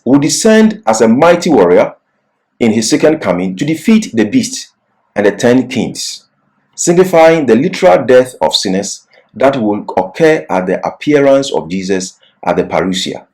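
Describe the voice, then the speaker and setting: Man teaching scripture with animated pitch. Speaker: Bible teacher. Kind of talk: religious lesson, scripted exposition.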